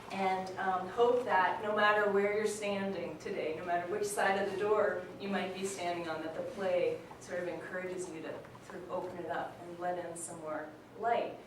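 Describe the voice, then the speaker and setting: Female presenter, informal welcome speech, mid-pitched, distant in a very echoey hall. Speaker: presenter introducing the performance. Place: hall before an audience. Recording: echoey hall, distant mic, clear noise.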